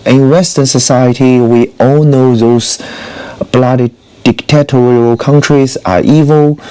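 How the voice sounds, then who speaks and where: A man's voice: mid-range voice, darkish tone, rough recording. A man whose first language is Chinese, giving casual opinion commentary with hesitant phrasing, frequent fillers and restarts, and wry rhetorical questions. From China. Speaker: video commentator.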